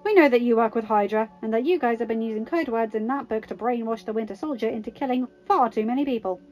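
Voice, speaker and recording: A woman's voice: fairly high pitch, fast, darkish tone, close to an everyday voice. A woman voicing a sarcastic, blunt and hot-headed male superhero with emphatic delivery. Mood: confrontational. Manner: sardonic, emphatic.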